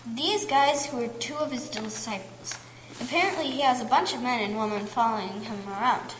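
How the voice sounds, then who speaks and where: A woman playing an excitable, gossipy villager in an amateur church drama, emphatic and conversational, in a noisy, echoing hall. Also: fairly high pitch, moderate pace, natural voice. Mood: eager, amazed. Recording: echoey hall with background noise.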